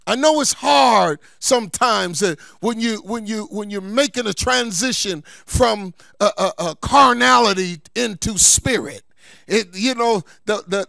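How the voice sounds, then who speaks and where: A man's voice: very high voice, vocal fry, pushed and bright, dry recording, clean studio sound. A man preaching a sermon as a pastor, emphatic and folksy, repeating key phrases for stress and slipping into colloquial asides. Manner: colloquial, folksy asides between scripture points.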